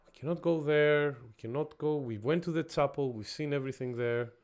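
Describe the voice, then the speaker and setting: A man's voice: fairly high pitch, moderate pace, darkish tone. A young man from Greece streaming a horror game, chatting casually with viewers and reacting as he plays. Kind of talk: casual gameplay commentary.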